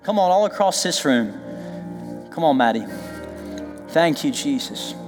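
A man preaching in a church, voice a touch higher than most, ringing through a big reverberant hall. He jokes, throws out asides to the congregation and presses his points with emphasis. Soft music sits underneath, and his voice is wearing thin. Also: unhurried. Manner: lively, joking, emphatic preaching with call-and-response asides. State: losing his voice. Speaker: pastor. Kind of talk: sermon, then leading prayer and worship. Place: church sanctuary.

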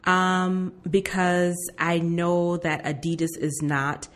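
Low-pitched woman in casual conversation. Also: fairly even intonation, takes it slowly. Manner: informal, acts out quoted remarks. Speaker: podcast co-host. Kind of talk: casual conversational storytelling and opinion.

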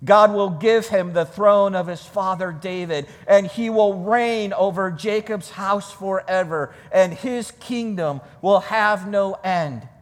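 High-voiced male preacher in a reverberant hall. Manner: emphatic, deliberate phrasing with repetition for weight. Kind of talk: sermon with scripture reading.

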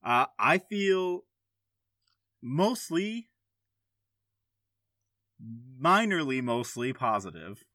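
Slow-talking man hosting, dry close sound. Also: mid-range voice, very animated, bright, forceful tone, dry recording. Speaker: podcast host. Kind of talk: casual conversational hosting.

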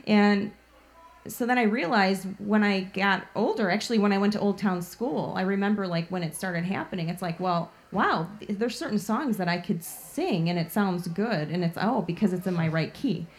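A woman's voice: medium pitch, normal speed. A woman blues guitarist and singer from Chicago, chatting in an interview and filling her talk with "like" and "you know.". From Chicago, USA. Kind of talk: casual interview chat.

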